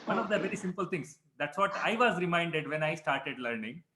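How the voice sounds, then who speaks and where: A man's voice: on the high side, animated, fairly quick, reverberant, noisy background. A man teaching a Sanskrit class over a video call, encouraging his students and mixing Hindi words into his English. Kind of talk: online class introductions.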